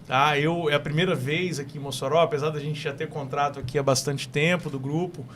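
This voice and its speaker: A young man with an Espírito Santo accent, talking eagerly as a talk show guest. His voice sits a little high, and he often circles back to restart a phrase. A faint hiss runs underneath him. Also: steady tone, a little fast, fairly bright tone. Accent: Brazilian Portuguese from Espírito Santo. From Espírito Santo, Brazil. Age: young adult. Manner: enthusiastic, repeats and restarts phrases. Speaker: guest entrepreneur.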